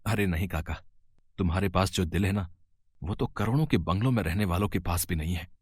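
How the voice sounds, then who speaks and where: A kind but desperate young family man, voiced by a man in a storybook tale, pleading earnestly and quickening when worried. His middle-pitched voice is recorded close and dry. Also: slightly rough, no echo. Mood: warm. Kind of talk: storybook voice acting.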